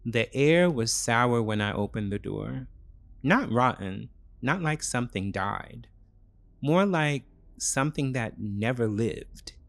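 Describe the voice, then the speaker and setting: Male narrator for thriller fiction: unhurried, measured phrasing with a wide, lively intonation. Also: mid-range voice, vocal fry, no room sound. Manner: measured, short deliberate phrases. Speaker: storyteller. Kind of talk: scripted dramatic narration.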